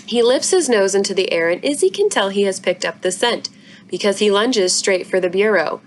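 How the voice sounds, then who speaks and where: Woman, story-time reader, expressive children's book narration, mid-pitched, slight noise behind. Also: moderate pace.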